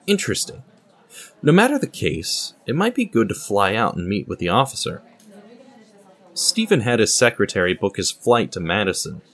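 A man's voice: lowish voice, very animated, moderate pace, a bit bright. A man narrating a scripted dramatic story, reading as a storyteller. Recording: music under the voice.

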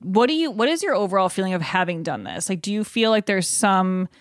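A woman's voice: mid-range voice, very animated, brisk, no room sound. American woman co-host, dry studio sound. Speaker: podcast co-host. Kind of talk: casual podcast chat.

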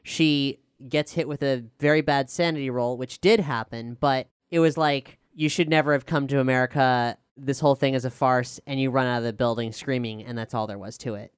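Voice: medium pitch, fairly even intonation, brisk, few pauses, smooth, dry recording, studio quality. A podcast showrunner explaining things in an emphatic, casually sweary way during a behind-the-scenes discussion.

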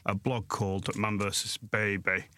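A man's voice: lowish voice, level tone, normal speed, pushed and bright, no room sound. A man in his forties from Sheffield with a South Yorkshire accent, chatting as a guest in a casual interview, self-deprecating and rambling with lots of restarts. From Sheffield, England. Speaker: guest author and blogger.

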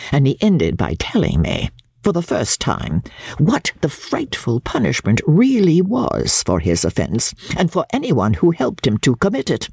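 A woman voicing a bitter, proud older Victorian woman in a dramatic audiobook reading, husky and gravelly, with haughty, indignant, precise diction. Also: a bass voice, darkish tone, no room sound, heavily acted.

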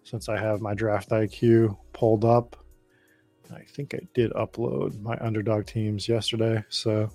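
A man's voice: lowish voice, little pitch movement, measured pace, dark tone, dry recording, faint music behind. An American man from the Boston area chatting informally and swearing freely as he hosts a casual live draft stream commentary. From Boston area, United States. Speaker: host of a fantasy football draft stream.

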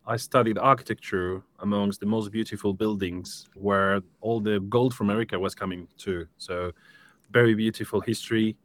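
A man from Spain in his thirties, speaking with a Spanish accent as a live-stream interview guest, relaxed and lightly joking. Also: on the low side, normal speed, dry recording. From Spain, Seville. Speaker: guest, interior design studio director.

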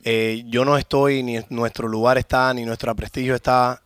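A man's voice: mid-range voice, little pitch movement, normal speed, fairly bright tone, clear, resonant tone, no room sound, clean studio sound. A man in his thirties, born in Cuba, speaking earnestly and formally as a guest about his business. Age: 30s. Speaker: guest, auto shop owner. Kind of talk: casual interview talk.

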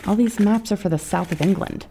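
An actress playing a frank, practical peacekeeper among travellers, plain-spoken and direct, in an audio drama. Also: female voice, medium pitch, a little fast, vocal fry, warm tone, a little hiss or hum, natural voice. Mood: dry, puzzled.

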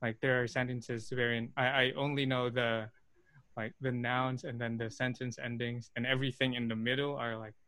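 A man chatting casually and asking questions, relaxed, with frequent fillers. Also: mid-range voice, normal speed, clear, resonant tone, no room sound. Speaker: conversation partner asking questions. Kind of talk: casual conversation.

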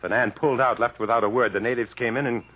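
An actor playing a bitter, sardonic, fatalistic jungle wanderer in 1950s American radio drama style, dramatic and mocking. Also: male voice, medium pitch, fairly even intonation, fast, lightly acted. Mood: bitter defeat. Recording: muffled, noisy 1950s radio recording.

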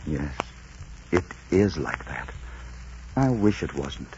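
An actor playing a dashing, suave gentleman highwayman in a 1940s radio romance, with courtly, ardent delivery. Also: big pitch swings, takes it slowly, noisy background, full character voice. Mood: earnest, wistful. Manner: suave, courtly, murmuring sweet declarations. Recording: dull 1940s radio recording with music.

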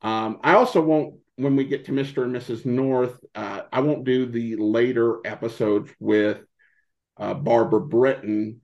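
A man in a casual interview as a podcast guest, talking haltingly and searching for his words. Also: mid-range voice, steady tone, highs cut off. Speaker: podcast guest, old-time radio podcaster.

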